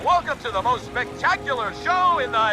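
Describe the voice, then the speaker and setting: A flamboyant 1920s show announcer with a very high voice. His delivery is highly animated and grandiose, built up theatrically over prominent music. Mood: grand, excited. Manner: grandiose, showy, drawn-out build-up. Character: flamboyant old-timey show announcer, grandly introducing a star.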